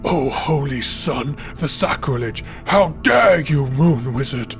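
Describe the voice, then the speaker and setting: A man's voice: fairly high pitch, animated, a little slow, faint music behind, voice pushed up. A man voicing a pious, fearful sun priest who stammers and exclaims in offence in a fantasy audiobook. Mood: outraged, offended. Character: pious, hunched male sun priest, fearful, easily outraged.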